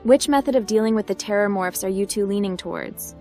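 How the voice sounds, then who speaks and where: A tough young woman captain voiced by a woman speaks at a middling pitch. She is sardonic and clipped, a video game protagonist heard over quiet music. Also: fairly quick, lightly acted. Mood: matter-of-fact.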